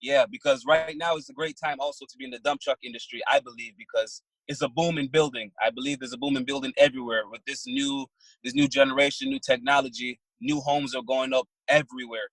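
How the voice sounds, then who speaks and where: Guest, male, 30s, from Barbados: mid-pitched, plain-spoken, dry close sound. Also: fairly even intonation, fairly bright tone, no echo, poor audio. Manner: plain-spoken, halting with fillers and restarts. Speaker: guest, trucking business owner. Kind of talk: casual interview answers.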